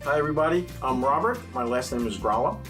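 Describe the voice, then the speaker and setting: A middle-aged man with a New York accent talks casually as a guest, full of wisecracks and asides to the viewers. His voice sits at an ordinary pitch, with a little music playing softly behind. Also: expressive, fast. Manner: joking, playful asides to an imagined audience. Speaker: guest, accountant. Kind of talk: casual interview chat.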